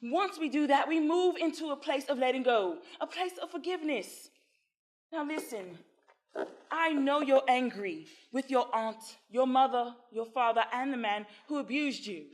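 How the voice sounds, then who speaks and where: An actress playing a calm, assured therapist who coaxes her patient with patient, leading questions in a stage play. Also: high voice, moderate pace, lightly acted. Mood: reassuring. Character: calm assured female therapist, coaxing and firm.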